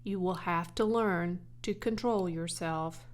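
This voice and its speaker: Lady reading as a farmer dad in a storybook, gentle but firm, slow n measured, kinda wry. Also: on the low side. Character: gentle, firm farmer father, wryly reasoning.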